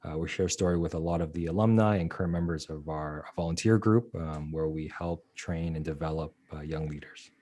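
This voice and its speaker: A man co-hosting a podcast, talking in a relaxed way with frequent pauses and "uh"s. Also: a bass voice. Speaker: podcast co-host. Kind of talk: casual podcast talk.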